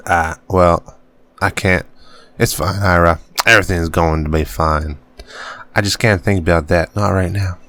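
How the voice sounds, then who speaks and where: Man reading as a gruff, cursing farmer dad. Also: low voice, fairly even intonation, fairly bright tone. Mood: reassuring. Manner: grumbling, cursing, drawn-out reading. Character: gruff weary middle-aged farmer father, bitter miner.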